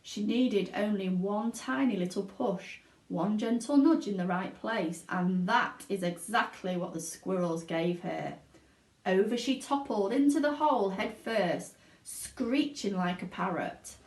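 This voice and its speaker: A woman narrating a children's storybook aloud in an expressive reading style. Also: medium pitch, unhurried. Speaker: teaching assistant reading aloud. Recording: echoey room.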